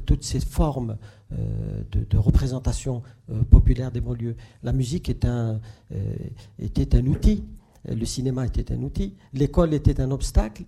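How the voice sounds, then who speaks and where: Male panelist, gravelly and dark, hesitant with many fillers. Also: mid-range voice. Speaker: panel guest.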